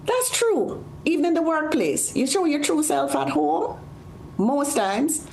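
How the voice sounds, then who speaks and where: Jamaican female guest, emphatic, lively and fairly high, roomy remote audio. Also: a little slow, creaky, a little hiss or hum. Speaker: child psychologist guest. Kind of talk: interview answers.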